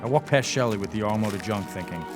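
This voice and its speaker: A sardonic young amateur ghost hunter played by a man tells his story in a radio drama. His voice is on the low side, wry and grumbling. Music plays clearly behind him. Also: quick pace, lightly acted. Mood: sardonic, uneasy. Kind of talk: first-person radio drama narration and dialogue. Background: loud dramatic music.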